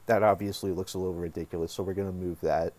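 A man hosting a casual software tutorial, talking informally and thinking aloud as he works. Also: low-pitched, little pitch movement, fast. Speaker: tutorial host.

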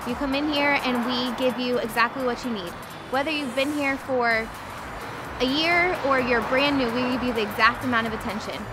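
A young woman, a dietitian and assistant coach, speaking upbeat and brisk outdoors by a gym. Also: sits high, steady tone. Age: young adult. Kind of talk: promotional interview. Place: outdoors by a gym garage door. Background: music.

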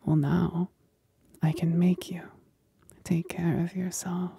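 A possessive female demon, played by a woman, whispers in an ASMR roleplay. Her voice is husky and breathy, drawn out very slowly and edged with creak. She teases and commands with syrupy condescension. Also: lowish voice, warm tone, no room sound, full character voice. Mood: coaxing, commanding. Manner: slow, deliberate, condescendingly sweet.

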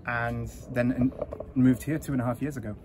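A man, talking in a relaxed, halting way with many fillers during a casual interview in an outdoor community garden. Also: mid-range voice. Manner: easygoing, hesitant with many fillers. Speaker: interview guest, volunteer. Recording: outdoor noise with passing traffic.

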